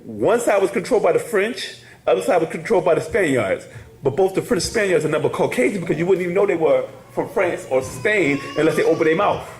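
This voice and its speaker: A man's voice: a little high, expressive, fast talker, soft background music. Echoey man lecturing a casual class. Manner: rambling, repeats "you hear me?", frequent laughs. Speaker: class teacher.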